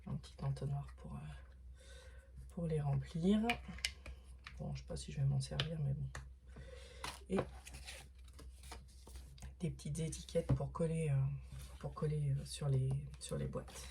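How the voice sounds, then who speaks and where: A middle-aged woman, hoarse this morning, chatting casually through an unboxing with many fillers and restarts, sometimes dropping to a whisper. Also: low-pitched, fairly even intonation, a little slow, pauses often, noisy background. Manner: drops to a whisper at times. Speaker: vlogger unboxing craft supplies.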